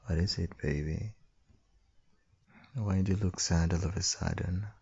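Very deep, husky, creaky-voiced man. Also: steady tone, lo-fi sound. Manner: tender, doting, slow, murmured with soft hums. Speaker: ASMR roleplay performer playing a loving husband and father. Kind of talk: boyfriend ASMR roleplay, soft intimate acting.

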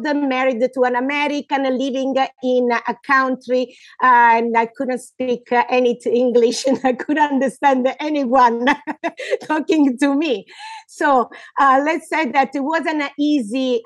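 Lively Italian-accented woman guest. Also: high-pitched, measured pace, no room sound. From Italy. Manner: lively, expressive, laughs often. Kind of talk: telling her life story in an interview. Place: home room with shelves, video call. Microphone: earbud headset mic.

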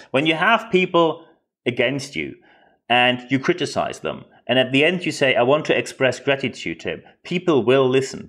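A man's voice: mid-range voice, a bit bright. A man from northern Germany with a German accent, hosting a solo podcast in his second language with an emphatic, rhetorical manner. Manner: emphatic, rhetorical questions and repetition. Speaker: podcast host. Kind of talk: unscripted solo podcast commentary.

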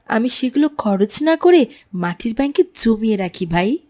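Woman as a lively, high-voiced polite little boy. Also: moderate pace. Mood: polite, keen. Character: polite, keen young schoolboy who saves his pocket money. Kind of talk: children's moral cartoon dubbing.

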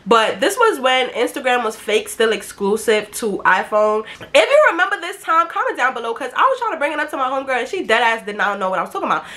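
A young Brooklyn woman, her voice bright, higher-pitched and cutting but stuffed up and nasal from a head cold. She chats brashly to a webcam in a small bedroom, full of slang and swearing. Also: sing-song, fast talker, few pauses. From Brooklyn, New York. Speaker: YouTuber. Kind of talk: casual storytime chat to camera.

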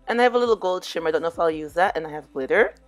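A hoarse, somewhat low-pitched woman talks viewers through a crafting project in a relaxed, chatty way. Her voice sounds a little under the weather. Soft music plays faintly underneath. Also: very fast, no room sound. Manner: relaxed, chatty, addresses viewers as "you guys". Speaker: DIY crafts vlogger. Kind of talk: casual crafting tutorial voice-over.

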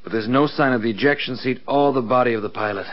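An actor playing a dutiful, earnest air force control officer in a mid-century radio drama. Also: male voice, mid-range voice, fast, natural voice. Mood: businesslike. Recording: muffled, hissy vintage radio recording.

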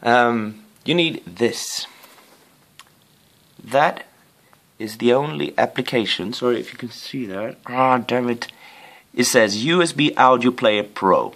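A man giving a casual hands-on video review in a living room, rambling with apologetic asides and a playful, excitable streak. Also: mid-range voice, slow pace. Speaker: amateur gadget reviewer. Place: living room with wooden floor and rug.

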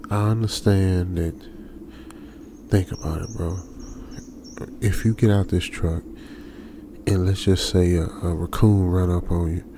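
Casting: male actor as young trucker stranded at night, jittery self-talk for radio drama. Lowish, gravelly voice, halting and colloquial. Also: fairly even intonation, background noise. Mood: nervous, self-reassuring. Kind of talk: ASMR old-school radio drama monologue.

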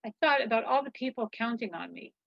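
A woman's voice: on the high side, lively intonation, quick pace, gravelly, no room sound. A woman reading a children's story in the first person, expressively, over a video call. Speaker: author reading own children's book. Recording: slightly dull video-call audio.

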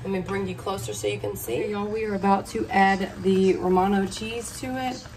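A woman's voice: medium pitch, fairly even intonation, brisk. Lady talking y'all through her recipe, mic set back, room hum behind. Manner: chatty, talks viewers through each step. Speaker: cooking vlogger. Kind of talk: casual cooking tutorial. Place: hotel room. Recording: distant mic with clear room noise.

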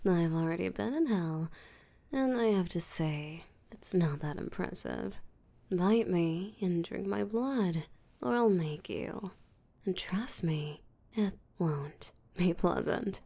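Slow, low-voiced seductive vampire woman, acted. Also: lively intonation, dark tone, exaggerated voice. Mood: commanding, smug. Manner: teasing, breathy, often drops to a whisper. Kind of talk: roleplay audio drama, intimate acting.

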